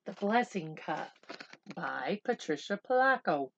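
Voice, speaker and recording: A woman reading a picture book aloud like a teacher, slow and expressive in the story, chattier when she stops to explain words simply for children. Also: fairly low pitch, vocal fry, dull audio, slight background noise. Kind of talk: read-aloud storytelling with casual explanatory asides.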